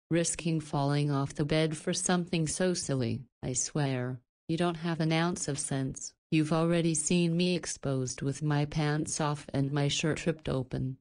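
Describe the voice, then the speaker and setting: A woman's voice: low-pitched, a little slow, few pauses, a little mellow. An actress playing a caring, flirty woman in a visual novel, chiding and teasing like a doting guardian. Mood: exasperated scolding.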